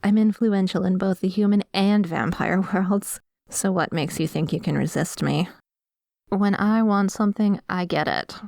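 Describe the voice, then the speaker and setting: A woman playing a dominant, obsessive vampire in a scripted ASMR roleplay, savouring her words with breathy sighs and slips into whispers, commanding and condescending. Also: mid-range voice, normal speed, darkish tone, no room sound, clean studio sound, close to an everyday voice. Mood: commanding, menacing. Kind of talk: scripted ASMR roleplay audio, addressing the listener.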